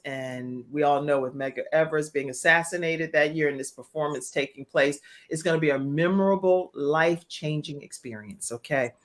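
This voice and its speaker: An American woman hosting a live online talk show, chatting in an effusive, encouraging way and addressing viewers by name. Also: sits low.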